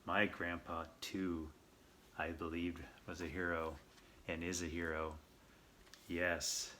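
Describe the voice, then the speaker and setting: A man reading a children's picture book aloud as host, playful and theatrical, with expressive asides and questions. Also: low voice, slow pace, slight background noise. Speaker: storyteller, host of a read-aloud show.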